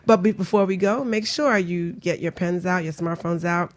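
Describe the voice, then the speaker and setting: A woman's voice: lowish voice, moderate pace. A woman co-hosting a wellness talk radio show. She chats loosely, often laughing, and stumbles through restarts as she jokes with her co-host. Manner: giggly, joking, chatty with frequent restarts. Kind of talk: casual talk radio chat.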